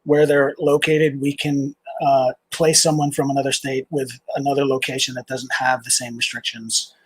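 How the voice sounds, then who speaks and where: A middle-aged man, a nonprofit founder, answering interview questions in a halting, casual way. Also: medium pitch, little pitch movement, slight background noise, poor audio. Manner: halting, with many "uh"s and restarts.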